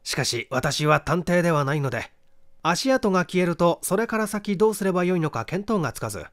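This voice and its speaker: A man giving a measured, expressive reading of a literary detective story as narrator. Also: on the high side. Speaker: audiobook reader, stage actor.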